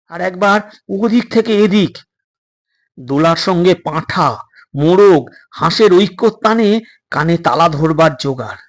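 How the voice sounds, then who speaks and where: A man narrating a suspense story as an audiobook, in a measured, expressive and dramatic way. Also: a little high.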